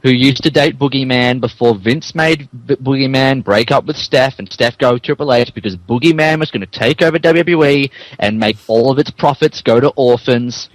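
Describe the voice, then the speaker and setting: A man's voice: medium pitch, moderate pace, hardly any pauses, dry recording, rough recording. A man hosting a podcast and narrating a story, joking and mock-earnest, shifting between casual chat and dramatic reading.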